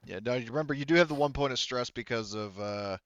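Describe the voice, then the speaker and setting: Smooth guy game mastering, casual, explains rules as he goes, close dry slightly lofi sound. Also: mid-range voice, quick pace, no echo, highs cut off. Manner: casual, talking players through rules and results. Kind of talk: running a tabletop roleplaying game.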